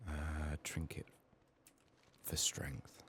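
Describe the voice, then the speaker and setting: Very deep, soft-spoken ASMR man. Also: level tone, very slow. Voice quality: soft-spoken, often dropping to whispers. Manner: murmured thinking aloud. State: sick, strained voice. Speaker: ASMR gameplay streamer. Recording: faint noise, keyboard typing and clicks. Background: keyboard typing, clicking, faint noise.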